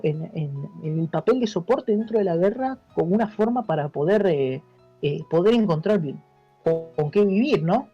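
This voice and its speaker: Mellow Argentine man explaining, music behind. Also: sits high. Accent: Argentine Spanish. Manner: didactic, checks understanding with tag questions. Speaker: interview guest, history teacher. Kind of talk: informal explanatory interview. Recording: dull lo-fi audio with music behind.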